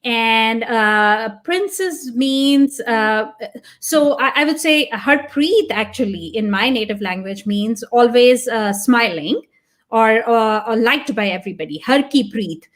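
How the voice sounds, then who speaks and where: Earnest, slightly high-voiced woman guest. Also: lively intonation, takes it slowly. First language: Punjabi. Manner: earnest, reflective, thinks aloud. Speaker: podcast guest. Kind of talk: casual interview talk.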